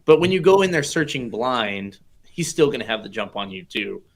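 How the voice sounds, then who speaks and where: A man's voice: medium pitch, big pitch swings, normal speed. A man co-hosting a casual livestream, asking questions and thinking ideas through aloud.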